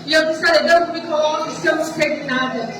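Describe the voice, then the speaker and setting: Brazilian female church preacher, earnest, repetitive, halting exhortation; recorded far from the mic with clear background noise. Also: sits high, steady tone. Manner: earnest, repetitive, stammering delivery. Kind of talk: testimony sermon, exhortation. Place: church service indoors, distant microphone. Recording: echoey room, far mic, noisy.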